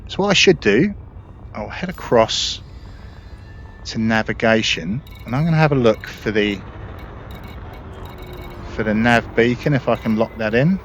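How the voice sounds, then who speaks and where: A man's voice: medium pitch, lively intonation, unhurried, pauses often. A man giving casual, explanatory gameplay commentary in a conversational way. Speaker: gaming video creator. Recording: muffled mic with faint noise.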